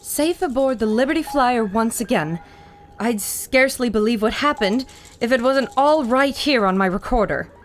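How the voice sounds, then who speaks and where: A woman's voice: on the high side, expressive, moderate pace, slight background noise, lightly acted. A woman playing a plucky, caring reporter in an old-time radio adventure serial, speaking earnestly. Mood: relieved.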